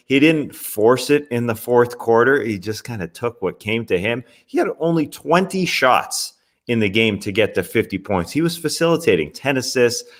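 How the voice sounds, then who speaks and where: A young man hosting a basketball recap show, talking in a casual, chatty way with wry asides. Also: medium pitch. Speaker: sports podcast host. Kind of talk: NBA recap commentary.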